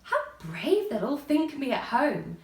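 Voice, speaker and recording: A curious little girl from a storybook, acted out by a woman, high and very animated. She muses aloud to herself, chatty, earnest and a bit dreamy. The sound is roomy, away from the microphone. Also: measured pace, far from the mic. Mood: wondering, chatty. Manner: musing aloud to herself, wondering.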